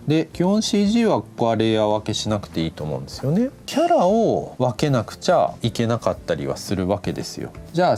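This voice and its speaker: Male lecturer, lowish register, very varied intonation, informal but polite teaching style, talks through his reasoning, light music underneath. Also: darkish tone. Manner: thinks aloud, step-by-step explaining. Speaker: animation director giving a lecture. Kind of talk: instructional explanation, casual polite talk. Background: background music.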